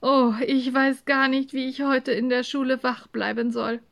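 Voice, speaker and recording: A woman's voice: high voice, slow pace, voice pushed up. A woman voicing an exhausted, polite schoolgirl in a children's story, with a weary, sighing manner.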